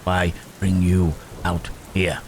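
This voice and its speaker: A man voicing Frankenstein's monster as gentle, brooding and protective, speaking in halting, formal, old-fashioned phrases for an ASMR roleplay. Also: deep voice, little pitch movement, vocal fry, a little hiss or hum, exaggerated voice. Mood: brooding, puzzled. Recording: rain and thunder throughout.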